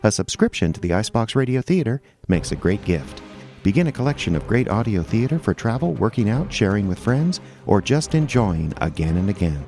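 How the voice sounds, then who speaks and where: An actor playing a chipper old-time radio announcer, delivering sponsor pitches in an emphatic, mock-earnest sales style. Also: male voice, big pitch swings, fairly quick, a little mellow, soft background music. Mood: upbeat, selling.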